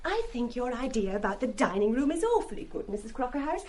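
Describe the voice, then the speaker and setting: An actress playing a chatty, gushing young wife in a radio play. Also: female voice, on the high side, sing-song, fast, a little mellow. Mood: polite, bright.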